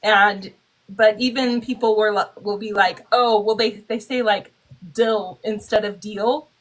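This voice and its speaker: A woman's voice: medium pitch, fairly even intonation, a little slow, poor audio. A woman from Utah with a Utah American accent, hosting a casual live stream and laughing often while she chats. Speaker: host.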